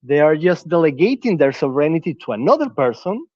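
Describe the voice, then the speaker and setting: A man's voice: a little high, very animated, a little fast, warm tone, no echo. Man talking earnestly, dry dull sound. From Venezuela. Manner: earnest, searching for words, frequent restarts. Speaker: podcast guest. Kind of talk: reflective conversational talk.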